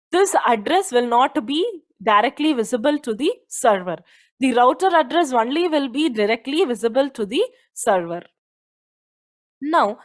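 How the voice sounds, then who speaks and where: A woman with an Indian accent giving an explanatory technical lecture, posing questions and repeating key points like a teacher. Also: high voice. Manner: didactic, poses questions then answers them, repeats key points.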